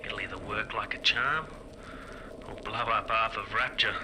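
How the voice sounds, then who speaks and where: A man's voice: fairly low pitch, fairly even intonation, unhurried, natural voice. An actor playing a grim, guilt-ridden male conspirator in a video game, brooding to himself on an audio diary. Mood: focused, wry. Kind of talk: dramatic audio diary acting. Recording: very noisy retro audio log.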